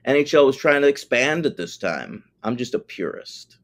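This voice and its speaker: A man's voice: medium pitch, expressive, moderate pace. A man co-hosting a podcast, talking casually and animatedly, joking and swearing. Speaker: podcast co-host.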